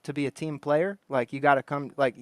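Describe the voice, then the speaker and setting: Brief: man, casual podcast co-host, fairly high voice, dry close sound, loose rambling storyteller who laughs a lot. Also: fairly even intonation, fairly quick, no room sound. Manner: rambling storyteller, laughs often, acts out quotes.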